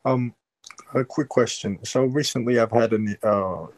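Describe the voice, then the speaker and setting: A man's voice: medium pitch, no echo, poor audio. A man calling in who halts and restarts his question often. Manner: halting, full of restarts. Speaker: audience caller. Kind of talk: casual question.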